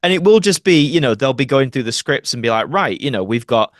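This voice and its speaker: A man with a Yorkshire accent chats as a guest in a casual interview. His voice sits at an average pitch, and he tells colloquial, meandering stories like an actor among friends. The sound is close and dry, with no room echo. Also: expressive, a little fast. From Doncaster, Yorkshire, England. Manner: chatty, colloquial, rambling anecdotes.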